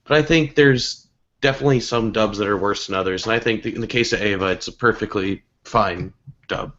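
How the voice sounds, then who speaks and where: A man's voice: fairly low pitch, a little fast, fairly bright tone. A man chatting on a podcast, stumbling at the start of a point and then giving a firm opinion. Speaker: podcast co-host. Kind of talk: casual chat. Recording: dull lo-fi podcast audio.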